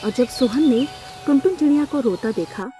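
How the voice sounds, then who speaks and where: Woman, very high voice, scripted children's storytelling, narrator, music behind. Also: lively intonation, moderate pace, warm tone.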